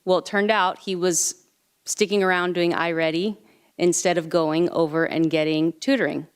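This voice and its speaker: A woman's voice: on the low side, fairly even intonation, normal speed, clear, resonant tone. A woman on a school board sharing a personal example as a parent, with frequent hesitant fillers. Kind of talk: board meeting discussion. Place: board meeting room.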